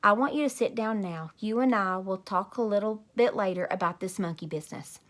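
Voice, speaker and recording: A woman's voice: mid-range voice, a little fast, lightly acted. Woman playing a firm kindergarten teacher.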